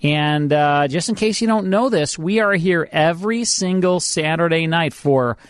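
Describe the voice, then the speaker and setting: Male radio host, American, somewhat high register, conversational presenter explaining background, very dry close mic. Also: normal speed, clear, resonant tone, dry recording. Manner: explanatory, trivia-sharing. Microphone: close studio microphone.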